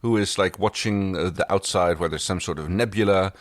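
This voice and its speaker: A man's voice: low voice, brisk, no room sound, clean studio sound. A man co-hosting a casual episode recap, talking in a rambling, analytical way. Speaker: podcast co-host.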